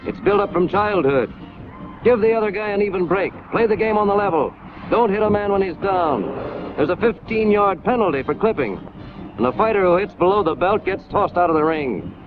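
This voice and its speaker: A man's voice: high-pitched, lively intonation, brisk, over music. An American man delivering scripted 1940s military training-film narration in an emphatic, hard-hitting manner with clipped phrases. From United States. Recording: scratchy, tinny 1940s film soundtrack, loud noise.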